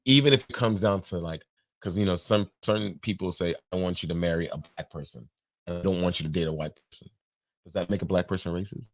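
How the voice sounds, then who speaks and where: A man's voice: deep voice, quick pace, dry recording. A man raised partly in Nigeria chatting casually, opinionated and telling school anecdotes. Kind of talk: casual chat.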